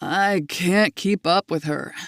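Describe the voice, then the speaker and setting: A woman's voice: lowish voice, slow pace, no room sound, studio quality. A woman voicing a kindly, joking old grandfather who laughs easily. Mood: jovial. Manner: warm, laughing, gently teasing.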